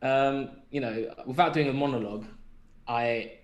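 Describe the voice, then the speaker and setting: An echoey room surrounds this young man with a London accent. He talks at a middle pitch in a casual podcast style, thinking aloud and often putting questions to himself. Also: moderate pace, dull audio. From London, England. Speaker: podcast speaker. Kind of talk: casual reflective podcast chat. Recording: roomy echo on the voice.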